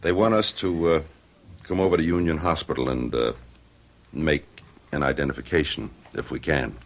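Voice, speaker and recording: A steady, somber husband in a radio drama. The man's voice is extremely low with a gravelly creak. His delivery is grave and halting. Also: noisy background. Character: somber, steady husband facing bad hospital news. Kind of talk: radio mystery drama acting.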